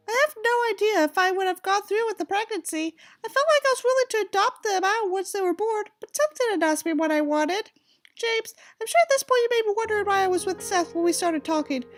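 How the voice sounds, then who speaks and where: A wounded teenage girl, acted out by a woman audiobook reader, speaks in an extremely high, bright voice. She is earnest and pleading, with a plaintive, melodramatic delivery over faint music. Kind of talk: audiobook character reading.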